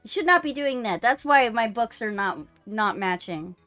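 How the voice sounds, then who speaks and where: A woman's voice: on the high side, lively intonation, moderate pace, bright, forceful tone, soft background music. A woman chatting casually as a game streamer during a playthrough.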